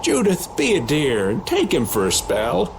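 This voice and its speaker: Folksy self-styled old man adventurer, animated. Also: fairly high pitch, measured pace, creaky, background noise, heavily acted. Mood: playful grumbling. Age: middle-aged. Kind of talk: English video game dub acting.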